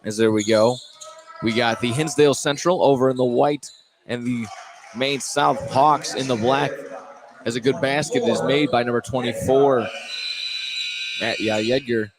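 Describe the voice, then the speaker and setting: Male play-by-play radio announcer, average pitch, slightly halting delivery with repeats, live from a noisy basketball gym. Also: fairly even intonation. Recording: clear gym ambience, bouncing basketballs. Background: basketball game in a gym.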